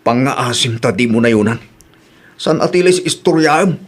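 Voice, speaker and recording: A patient widowed man, voiced by a man, talks in a calm, earnest, gently firm way in an Ilocano audio drama. His voice is mid-pitched. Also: moderate pace, slightly rough.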